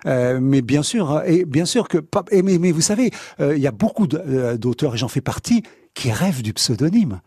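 A man's voice: a little high, expressive, moderate pace, mellow, slightly rough, no echo. A man from France, a writer guest on a radio show, chatting casually with many restarts and easy laughs. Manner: hesitant, many restarts and fillers; laughs easily, amused.

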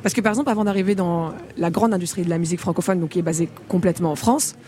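A woman's voice: fairly low pitch, steady tone, very fast, dark tone. A woman from the Congo, a singer as a radio interview guest, talking candidly with frequent laughs and fillers.

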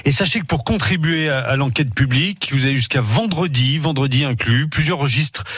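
A man's voice: mid-range voice, fairly quick, a bit bright, breathy edge, no room sound, clean studio sound. A man presenting local radio news in a conversational style. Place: radio studio. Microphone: close studio microphone.

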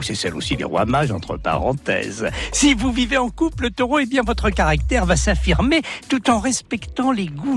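A man reads out the year's horoscopes on radio in a swooping, sing-song delivery. His voice sits a little high and rises and falls widely. Faint music plays behind. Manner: sing-song, emphatic, repeats sign names. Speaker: astrologer guest.

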